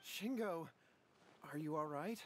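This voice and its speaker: A man's voice: on the high side, slow pace, a little hiss or hum. A man playing a humble, worried young brother in a video game, speaking earnestly and politely.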